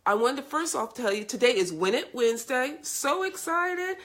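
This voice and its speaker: A woman's voice: high voice, sing-song, moderate pace, a bit bright. Woman, live-stream host, scratchy congested voice, effusive, chatty, greets viewers. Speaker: host of a live video show. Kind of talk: casual live-stream chat.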